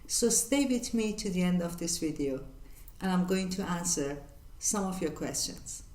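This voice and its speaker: An older woman from northern Iran with a Persian accent, hosting her channel and answering viewer questions in an earnest, measured way with many pauses. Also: fairly low pitch, expressive, echoey room. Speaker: channel host. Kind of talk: reflective Q&A monologue.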